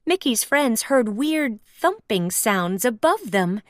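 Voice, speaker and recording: Slow, animated woman storybook narrator. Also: on the high side, no room sound, clean studio sound. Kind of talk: expressive children's read-aloud narration.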